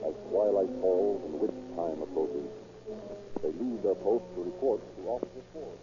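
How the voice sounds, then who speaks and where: A man's voice: deep voice, normal speed, over music, close to an everyday voice. A man narrating an old-time radio mystery drama as a solemn, atmospheric storyteller. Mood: measured. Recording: muffled, noisy vintage radio recording.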